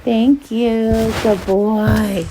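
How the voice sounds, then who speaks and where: A woman reading aloud as a volunteer storyteller, with an expressive, dramatic delivery. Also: on the high side, drawn-out words, noisy background. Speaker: volunteer children's book reader.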